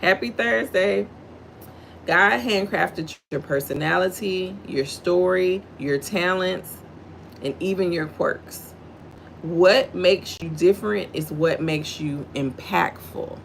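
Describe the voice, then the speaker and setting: A middle-aged woman from Houston with a Texan accent and a deep voice, talking low and slow as she reads a devotional and greets viewers on her livestream. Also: vocal fry, a little hiss or hum, poor audio. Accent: Southern US, Texan. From Houston, Texas, USA. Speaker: livestream host. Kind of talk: devotional reading and casual chat with live viewers.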